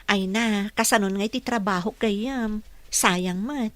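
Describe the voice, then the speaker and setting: Polite, encouraging caregiver, mid-pitched. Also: female voice, takes it slowly, fairly bright tone, no room sound. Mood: friendly. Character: caring female nurse-caregiver, respectful and encouraging. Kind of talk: radio drama acting.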